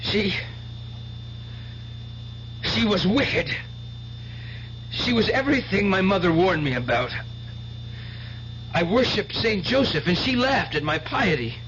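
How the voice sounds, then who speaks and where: High-pitched fanatical stage mind reader. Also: male voice, very animated, slow, long pauses, fairly bright tone, heavily acted. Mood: anguished confession. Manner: theatrical, declamatory showman. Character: fanatical stage mind reader, tormented, pious, self-righteous killer. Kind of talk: radio drama acting. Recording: dull noisy old radio broadcast with hum.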